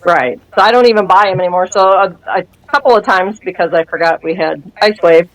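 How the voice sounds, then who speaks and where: A woman's voice: on the low side, a little fast, rough recording. A woman in her fifties telling her story in a casual interview, acting out what people said and laughing often. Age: 50s. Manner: animated storyteller who acts out quoted dialogue and laughs often. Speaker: guest sharing a testimony.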